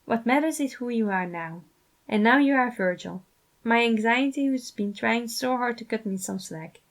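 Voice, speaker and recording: A woman voicing a supportive, sincere man in a fan fiction reading, speaking earnestly and gently. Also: on the high side, moderate pace, voice pushed up, close to an everyday voice. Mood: proud, supportive. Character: supportive, sincere man reassuring his friends.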